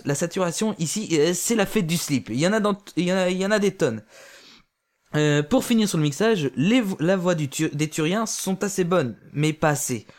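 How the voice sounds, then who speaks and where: A man reviewing an amateur audio drama in casual spoken French, with frequent fillers and restarts. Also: a little high. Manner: hesitant, many fillers and restarts.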